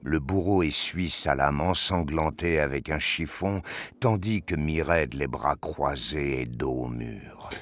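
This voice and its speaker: A man narrating a dark audio drama in a grave, deliberate, scripted style. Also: very deep voice. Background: music.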